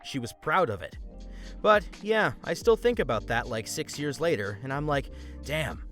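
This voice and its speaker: A man hosting a story channel, reading online stories aloud and adding his own comments in an animated way. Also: moderate pace. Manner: animated, swings pitch for emphasis. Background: faint background music.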